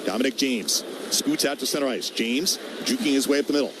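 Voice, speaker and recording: A man's voice: medium pitch, a little fast, background noise. A man doing live radio play-by-play of ice hockey in short, clipped running calls.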